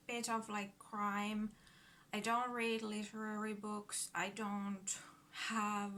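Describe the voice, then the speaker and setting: A woman in her thirties from Finland talks into her phone camera at home, her English carrying a Finnish accent. She speaks slowly, with a bright tone, nervous laughs and plenty of fillers. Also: medium pitch, slight background noise. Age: 30s. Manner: nervous, giggly, hesitant with many fillers. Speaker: book vlogger. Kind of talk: casual vlog talk to camera. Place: at home in front of a bookcase.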